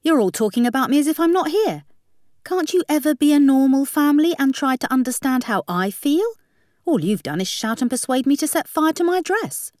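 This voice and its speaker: Lady doing a whiny upset teen bride, defensive, animated, dry storybook recording. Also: high voice, brisk, hardly any pauses, no room sound, voice pushed up. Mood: indignant shouting. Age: young adult. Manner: indignant, whiny. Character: upset, defensive nineteen-year-old runaway bride.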